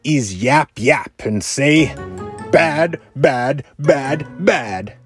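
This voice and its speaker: A man's voice: mid-range voice, animated, very slow, soft background music, voice pushed up. A man voicing an old industrialist hermit in a rhyming storybook, dramatic and emphatic, lingering on words. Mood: angry, defiant. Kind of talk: rhyming children's storybook read-aloud.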